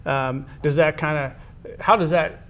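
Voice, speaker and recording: An American man hosts a conversational sports interview. His voice sits a little high for a man, and he phrases things haltingly, rewording as he goes. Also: fairly even intonation, a bit bright. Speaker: host.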